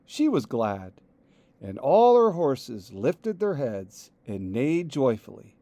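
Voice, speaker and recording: A man on a school staff reading a picture book aloud with expressive delivery. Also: medium pitch, slow, no echo. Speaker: staff reader.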